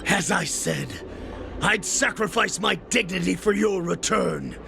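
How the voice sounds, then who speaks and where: High, gravelly, anxious wolf henchman. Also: measured pace, music in the background, exaggerated voice. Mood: pleading. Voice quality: gravelly, strained. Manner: urgent, fretful, servile. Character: anxious, devoted male wolf-clan underling pleading with his lord. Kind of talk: video game character acting.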